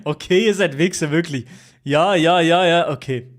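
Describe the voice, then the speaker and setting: A man's voice: fairly high pitch, normal speed. A young man from Switzerland, streaming live and chatting casually with his viewers, jokey, repeating himself and teasing the chat.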